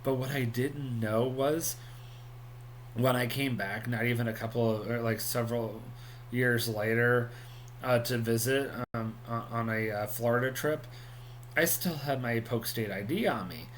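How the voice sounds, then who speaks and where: A man's voice: medium pitch, fairly even intonation, unhurried, a little hiss or hum. A man in his thirties talking casually on his solo vlog at home, rambling with many ums and restarts. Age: 30s. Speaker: vlogger.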